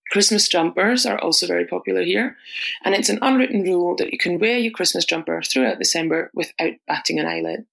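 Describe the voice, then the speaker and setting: A woman's voice: lowish voice, a little fast, creaky, a bit bright, low-quality recording. A woman from Austria, reading a prepared voice message as a podcast contributor. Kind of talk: scripted voice message.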